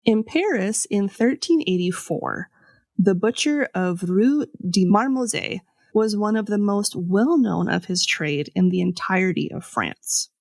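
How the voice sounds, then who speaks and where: A woman podcast host telling a scripted story in a conversational way, with pauses for dramatic effect. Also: mid-range voice, animated, vocal fry, darkish tone, dry recording. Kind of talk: scripted storytelling with casual asides.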